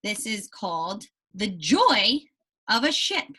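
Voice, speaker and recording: A woman teacher giving a read-aloud lesson to young children, animated and explanatory with frequent check-in questions, in a slightly husky voice. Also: mid-range voice, slow. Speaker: elementary school teacher.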